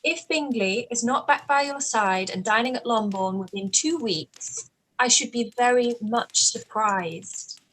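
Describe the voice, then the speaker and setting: A woman playing a spirited, outspoken young gentlewoman in a period radio play, with emphatic, expressive formal speech. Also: a little high, unhurried, poor audio. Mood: reassuring, insistent.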